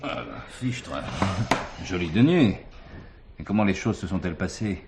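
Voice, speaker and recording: Commissaire sceptique, son bruité. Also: male voice, low voice, animated, unhurried, noisy background. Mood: inquisitive. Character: skeptical, dry-humored male police commissioner, always questioning. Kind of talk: 1970s French theatrical drama acting.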